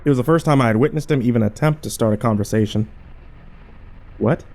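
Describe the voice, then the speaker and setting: A man's voice: medium pitch, quick pace, a little mellow, close to an everyday voice. A man playing a wary, troubled survivor who narrates a horror audio drama in a colloquial, brooding way. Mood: dazed, subdued. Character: troubled, wary male survivor telling his story.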